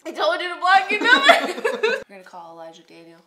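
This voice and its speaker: A young woman bantering casually through a prank call, animated and giggly. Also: extremely high pitch, quick pace, over a noisy crowd. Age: young adult. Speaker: prank show host. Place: small indoor room at a table. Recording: roomy sound, faint noise.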